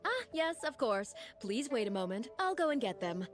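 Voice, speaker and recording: A woman's voice: on the high side, big pitch swings, normal speed. A woman voicing a polite, helpful young female attendant in a video game, courteous and eager to explain. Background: game background music.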